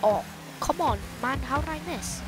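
Excitable high-voiced woman commenting on gameplay. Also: moderate pace. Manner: loud, excitable, exclaims often. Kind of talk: excitable casual game commentary. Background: game music.

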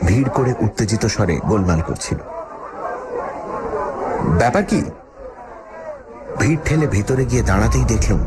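A man playing a frightened first-person narrator in a dramatic horror story, telling of his haunted-house ordeal. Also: fairly low pitch. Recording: loud eerie music and effects behind.

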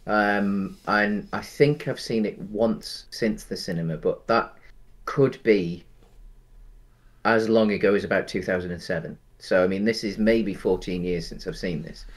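A man's voice: on the low side, unhurried. A man in his thirties, co-hosting a casual film-review chat from home over a video call, easygoing and rambling with many ums. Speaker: podcast co-host. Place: home room.

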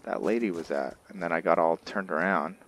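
A man's voice: deep voice, a little fast, fairly bright tone, rough recording. A man giving casual roleplay commentary on a video game, musing and thinking aloud as he plays. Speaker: gaming video host and player. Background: game music.

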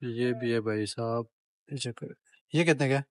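A man's voice: mid-range voice, moderate pace, dry recording, clean studio sound. A man giving a chatty walking-tour vlog of a street market, talking straight to viewers and bargaining with shopkeepers as he films. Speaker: travel vlogger.

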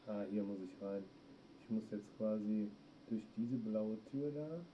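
German man, middle-aged, gaming streamer, lowish voice, muffled audio with clear noise behind. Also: a little slow. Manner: talks to himself while playing, reacts to chat. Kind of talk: let's play commentary. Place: small room indoors.

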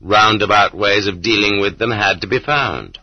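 A man narrates a literary audiobook with expressive, rhetorical delivery. His voice is low and husky. The recording is dry and slightly dull, like a cassette transfer. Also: normal speed, no echo.

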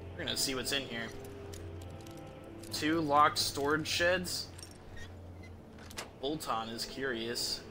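A young man giving casual, halting gameplay commentary, muttering to himself as he plays. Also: mid-range voice, music in the background. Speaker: game streamer. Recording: clear background noise, game ambience.

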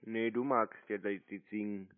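A man's voice: on the low side, steady tone, moderate pace, no echo. Taunting man on a tinny phone line. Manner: sarcastic, taunting, blunt. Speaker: scam baiter taking a call. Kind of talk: mocking phone banter. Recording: tinny, narrow phone-like sound.

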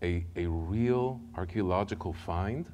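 A middle-aged man with an Israeli accent tells his life story to a church congregation, lively and conversational, often stumbling over and repeating words. His voice sits fairly low, and he speaks into a podium mic in a large sanctuary. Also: measured pace. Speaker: guest speaker. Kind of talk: personal testimony sermon.

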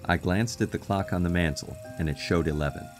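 A man playing an earnest, worried fiancé in an audio drama, speaking politely and seriously in a measured way. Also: deep voice, over music, lightly acted. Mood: somber narration. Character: earnest, worried young fiancé telling his strange story. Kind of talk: audio drama acting and narration.

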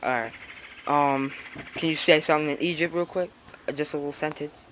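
A man casually interviewing someone from behind a handheld camera on a porch, hesitant and filling pauses with "um" and "all right". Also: a little high, fairly even intonation, background noise. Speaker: interviewer filming a young skater. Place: outdoors on a house porch.

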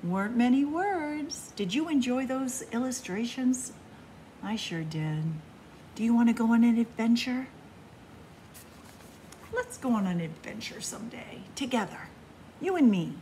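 A woman's voice: a little high, lively intonation, measured pace, pauses often, dark tone, a little hiss or hum, rough recording. A woman reading a picture book aloud at story time, playfully talking straight to young children in a sing-song way. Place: public library room among bookshelves.

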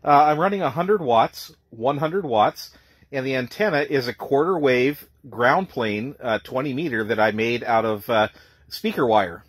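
A man's voice: mid-range voice, animated, unhurried, slightly rough, no echo. A man working as an amateur radio operator, speaking plainly in radio jargon and repeating details for clarity. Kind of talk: ham radio contact, phonetic spelling.

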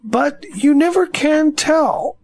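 A woman playing a slow, dreamy, honey-loving bear in an audio drama, musing and ponderous. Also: high voice, expressive, darkish tone, exaggerated voice.

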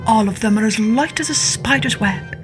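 A man's voice: high voice, moderate pace, over music, lightly acted. A woman storyteller voicing an obsequious, persuasive male swindler weaver in a storybook tale. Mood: fawning. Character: fawning male swindler weavers flattering their emperor.

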